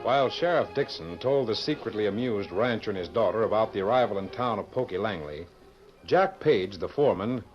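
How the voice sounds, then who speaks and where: Deep-voiced old-time radio western narrator, a man. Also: animated, fairly quick, natural voice. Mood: dramatic, measured. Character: formal storyteller narrating a western adventure. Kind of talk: 1940s-style radio drama narration. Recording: muffled vintage radio recording, music behind.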